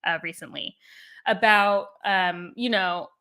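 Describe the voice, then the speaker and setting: A woman with a bright, forward voice hosts a casual chat about books. She rambles, with plenty of fillers and restarts. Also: mid-range voice, takes it slowly, clear, resonant tone. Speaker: booktube host. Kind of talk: casual book discussion.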